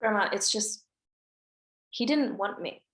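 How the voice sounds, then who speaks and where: A woman's voice: mid-range voice, unhurried, fairly bright tone, close to an everyday voice. A woman at a script table read playing a guarded, pessimistic teenage girl who speaks in a subdued way. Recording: roomy echo.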